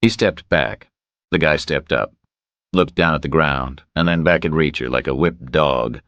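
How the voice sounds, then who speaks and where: A man's voice: very deep voice, unhurried, a bit bright, no echo. A man reading a scripted thriller as an audiobook narrator.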